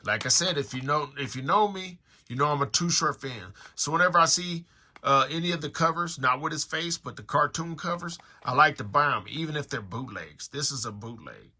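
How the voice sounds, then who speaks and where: A man's voice: on the high side, expressive, a little fast, pushed and bright. An American man chatting casually to viewers as he hosts a collection unboxing, rambling along in slangy, conversational phrases. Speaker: music collector and online store owner hosting an unboxing. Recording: noticeable background noise with clicks.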